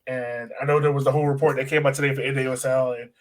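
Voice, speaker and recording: A man's voice: medium pitch, level tone, rapid-fire. A man co-hosting a sports podcast, chatting casually in informal, slangy language and often turning to his co-host.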